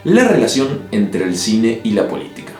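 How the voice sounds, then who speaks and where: Un hombre de Uruguay con acento del Río de la Plata, que narra un ensayo en video con opiniones firmes y toques de humor seco. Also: male voice, lowish voice, big pitch swings, moderate pace. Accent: Río de la Plata Spanish. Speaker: video essay host. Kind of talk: scripted narration. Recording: echoey room with soft music.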